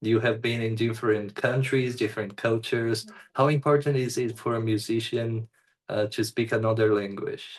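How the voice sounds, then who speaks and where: A man hosting an interview, asking questions in a measured, halting and encouraging way. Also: mid-range voice, steady tone. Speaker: interviewer, host.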